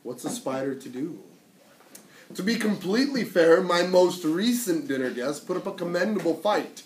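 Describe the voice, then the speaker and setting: A man's voice: sits high, expressive, moderate pace, pitched above the performer's own voice. Man playing a sly, smug storybook spider, swooping and echoey. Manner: theatrical, self-satisfied. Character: sly, smug, flattering male spider predator. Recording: echoey, noisy room, distant mic.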